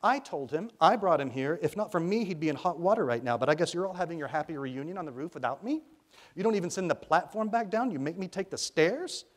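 A man's voice: a little high, lively intonation, fast, pitched above the performer's own voice. Man voicing a strident, indignant young girl. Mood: angry, indignant. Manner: accusing, indignant.

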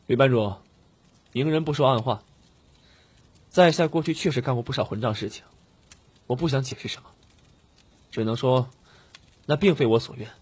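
A man's voice: mid-range voice, moderate pace, pauses often, a little mellow, slightly rough, pitched above the performer's own voice, close to an everyday voice. A man voicing a weary, frank young wandering cultivator who speaks firmly and plainly in an audiobook. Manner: plain-spoken, firm.

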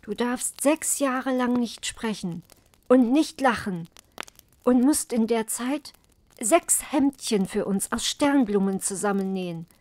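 A woman reading earnest enchanted brother, slowly cautioning. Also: fairly high pitch, darkish tone, no room sound. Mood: grave, regretful. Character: six enchanted swan brothers, grave and warning. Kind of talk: fairy tale storybook reading.

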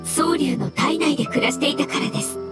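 Woman as a high-voiced, courteous mushroom spirit. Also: steady tone, over loud music, lightly acted. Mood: solemn. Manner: courteous, measured, formally polite speech. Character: polite floating mushroom spirit, gentle and formal. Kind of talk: scripted game dialogue.

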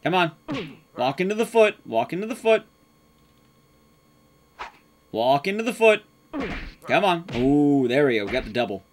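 A man's voice: a little high, sing-song, measured pace, pauses often. A man giving casual live commentary as he streams a speedrun, playful and sweary. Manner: sweary, playful, reacting to play. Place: indoor room at home. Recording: clear background noise, clicks.

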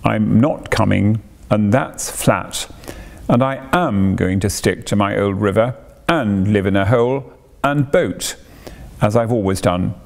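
A man's voice: on the low side, a little slow, creaky. A man voicing a stubborn, cautious male water rat in a storybook reading. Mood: dogged. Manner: dogged, dry, stubborn.

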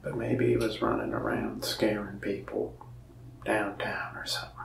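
A young man whispers his way through a soft-spoken ASMR roleplay as a shopkeeper, his low voice heavy with gravelly fry. He talks in slow, rambling, folksy asides. The sound is roomy and a little distant from the mic. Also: sing-song, heavy background noise. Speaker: shop salesman in a roleplay.

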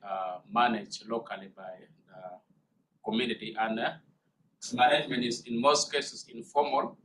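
Man speaking bright and measured. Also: mid-range voice, a little hiss or hum, rough recording. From northern Uganda. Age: young adult. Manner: measured, with many uh pauses. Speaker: guest speaker, student. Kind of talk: presentation. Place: seminar room.

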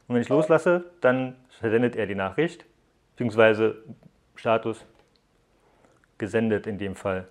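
A young man giving a casual, conversational hands-on review to viewers, explaining things informally as he goes. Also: medium pitch. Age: young adult. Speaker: tech app reviewer. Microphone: lapel mic.

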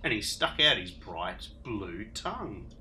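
A man's voice: on the low side, sing-song, a little slow, sharp tone, a little hiss or hum. A man narrating a children's storybook expressively, as the story's reader.

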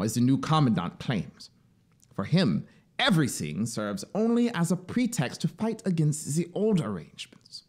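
A man voicing a fanatical officer devoted to his machine, complaining with emphatic indignation in a story reading. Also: medium pitch. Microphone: close studio microphone on boom arm.

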